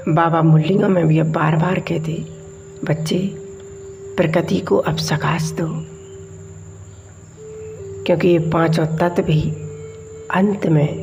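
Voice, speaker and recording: A woman leading a guided meditation, speaking in measured, deliberate short phrases with long pauses between them. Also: sits low, creaky. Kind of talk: slow guided meditation commentary.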